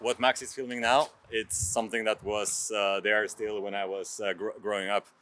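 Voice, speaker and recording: A man in his thirties from France, narrating a travel vlog in a casual, halting way. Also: lowish voice, level tone, bright, forceful tone, faint music behind. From France, moved to Norway at six. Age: 30s. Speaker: vlogger.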